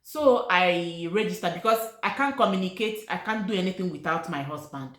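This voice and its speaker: A middle-aged woman from Nigeria, whose first language is Igbo, recounts her own experience in an earnest, repetitive way and leans hard on key words. Her voice sits fairly low. The room around her is noticeably echoey. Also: lively intonation, moderate pace, a bit bright. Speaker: vlogger. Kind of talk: casual personal story to camera.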